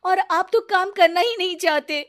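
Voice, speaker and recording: Young mother for a cartoon moral tale, played by a woman: high, plaintive, emotional, quietly determined. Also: normal speed. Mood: distressed, pleading. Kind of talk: Hindi cartoon moral story dialogue.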